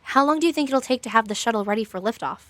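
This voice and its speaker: An actress playing a practical, decisive crew captain in an audio drama, speaking in a direct, businesslike way. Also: female voice, on the high side, animated, very fast, a bit bright, no echo. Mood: businesslike, probing.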